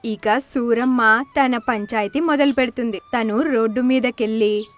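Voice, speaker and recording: A woman's voice: fairly high pitch, fairly even intonation, a little slow. A woman narrator reading a scripted moral story.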